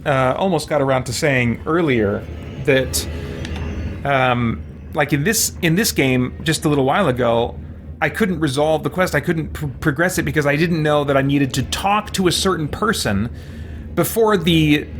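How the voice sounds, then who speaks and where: A man's voice: fairly high pitch, moderate pace, soft background music. A man hosting a let's play, giving casual commentary as he plays. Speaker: gaming host.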